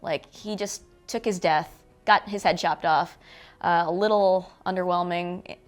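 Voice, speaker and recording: A woman's voice: lowish voice, fairly even intonation, unhurried, faint music behind. A woman co-hosting a film review in casual conversation, matter-of-fact and opinionated. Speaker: co-host of a film review show.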